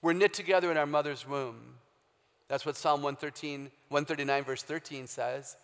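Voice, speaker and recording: A woman with a very low, deep voice giving an emphatic, humorous faith talk as a guest speaker, quizzing a live audience in a large hall. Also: moderate pace. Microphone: handheld or stage microphone. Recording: long hall reverb, live stage talk.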